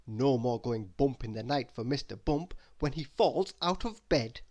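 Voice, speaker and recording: A children's storybook narrator with a mid-range voice. The delivery is animated and expressive, with emphasis placed for young listeners. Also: mid-range voice, measured pace. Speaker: storybook reader. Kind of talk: children's read-aloud narration.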